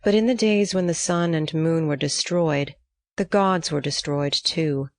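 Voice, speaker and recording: Female narrator, deep register, very dry close sound, measured storytelling, scripted audiobook reading. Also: dry recording.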